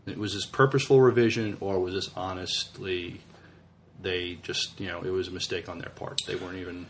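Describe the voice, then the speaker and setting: Deep-voiced man, halting casual co-host. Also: steady tone, fairly bright tone, a little hiss or hum. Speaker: podcast co-host. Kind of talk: casual sci-fi discussion.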